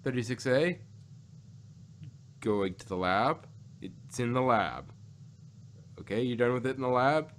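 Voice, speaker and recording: A man's voice: medium pitch, lively intonation, measured pace, pauses often, fairly bright tone. A man chatting casually as co-host of a review show, rambling and repeating his phrases as he goes. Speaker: podcast co-host reviewing audio dramas. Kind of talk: casual discussion. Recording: noticeable background noise.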